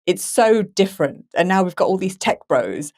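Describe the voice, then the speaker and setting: A woman's voice: mid-range voice, expressive, moderate pace, a little mellow. A woman hosting a casual discussion, speaking in an enthusiastic, opinionated way. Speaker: podcast host. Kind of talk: casual discussion of science fiction.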